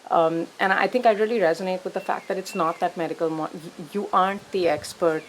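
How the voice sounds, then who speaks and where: Woman therapist, thoughtful, lower voice. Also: fairly quick, a little hiss or hum, poor audio. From India. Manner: thoughtful, many "you know" fillers. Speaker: narrative therapist. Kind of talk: reflective conversation.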